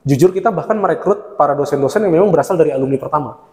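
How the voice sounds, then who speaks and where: Chatty lecturer in a roomy hall. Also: male voice, a little high, racing, darkish tone. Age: 30s. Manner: chatty, laughs often while explaining. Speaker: university lecturer. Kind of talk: relaxed interview talk.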